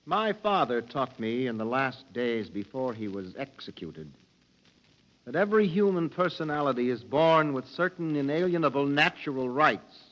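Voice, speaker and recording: Defiant mutant prisoner, 1950s radio drama; impassioned, formal, animated and forceful. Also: male voice, mid-range voice, highs cut off. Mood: solemn. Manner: earnest, rhetorical, formal phrasing.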